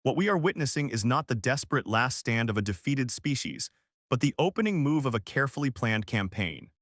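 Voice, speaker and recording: A man's voice: medium pitch, very animated, fairly quick, fairly bright tone, dry recording, clean studio sound. A man reading as a grim, blunt alien admiral in a science fiction story, with formal, clipped military speech. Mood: grave, formal.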